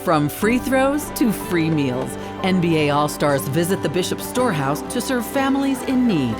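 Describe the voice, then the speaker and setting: A woman narrating a news report in a measured, scripted delivery. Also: lowish voice, sing-song. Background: music bed.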